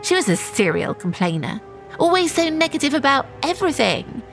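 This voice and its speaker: A wary, indignant woman who manages a shop, in an audio drama. Her voice is fairly high and very animated. She sounds earnest and uneasy as she justifies herself. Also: moderate pace, creaky, faint music behind, exaggerated voice. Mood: resentful, justifying. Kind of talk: naturalistic audio drama acting.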